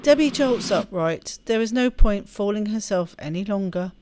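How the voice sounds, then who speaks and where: A woman's voice: mid-range voice, animated, normal speed, darkish tone. Woman narrating over music. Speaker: let's play host reading game text aloud. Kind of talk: expressive scripted narration, reading aloud.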